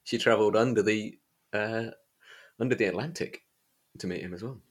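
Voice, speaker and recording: A man hosting a podcast, talking casually about books in a hesitant, halting way. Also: fairly low pitch, slightly rough. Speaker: podcast host. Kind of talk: casual book discussion chat.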